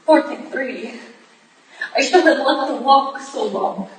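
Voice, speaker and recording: A distant, echoey recording of an actress as a proud ageing ballerina in a period stage play. Her high voice is impassioned and desperate, pleading with theatrical anguish. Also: animated, slow, heavily acted. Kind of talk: staged reading of a period drama. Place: staged reading in a room, recorded from afar. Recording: distant echoey room, faint noise.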